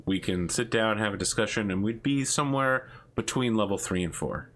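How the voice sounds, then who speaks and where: A man in casual chat as the game master, joking and quick to laugh. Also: lowish voice, a bit bright.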